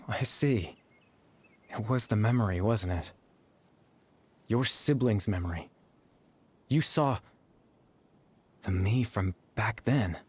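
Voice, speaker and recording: Melancholy young noble: low-ish, soft, measured, kind. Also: male voice.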